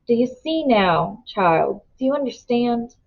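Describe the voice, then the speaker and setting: A woman's voice: a little high, expressive, unhurried, vocal fry, muffled recording, exaggerated voice. A woman voicing an earnest, weary older rural father in a storybook, pleading in folksy country phrasing. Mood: fierce, pleading. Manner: folksy country grammar, urgent and philosophical.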